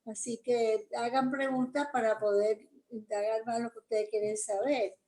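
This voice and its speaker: A woman's voice: on the high side, flat delivery, moderate pace, dark tone, roomy sound, low-quality recording. Chatty older Cuban woman. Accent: Cuban Spanish. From Cuba. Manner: chatty, rambling with fillers. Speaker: grandparent being interviewed. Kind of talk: recounting memories.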